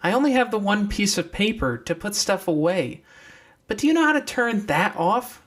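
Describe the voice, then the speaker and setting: A man's voice: sits high, animated, normal speed, fairly bright tone, voice pushed up. A man playing an anxious but determined young woman in an audiobook, earnest and plain-spoken.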